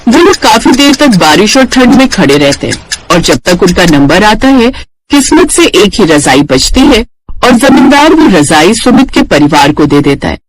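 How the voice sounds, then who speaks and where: A man's voice: high-pitched, very animated, normal speed, no echo, rough recording. A man narrating a scripted story as a storyteller.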